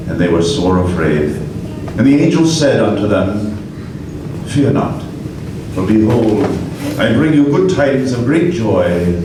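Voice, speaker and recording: A man reading a scripture passage in a measured, reverent way for a live radio play. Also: lowish voice. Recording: echoey room from far off, noisy.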